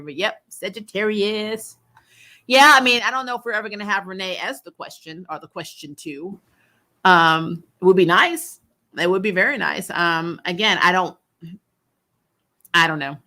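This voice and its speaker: A woman's voice: on the low side, sharp tone. A woman hosting a TV recap, chatting casually and bluntly with viewers, unfiltered and free with swearing. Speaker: host of a TV recap show, reviewer. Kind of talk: casual livestream chat answering viewer questions.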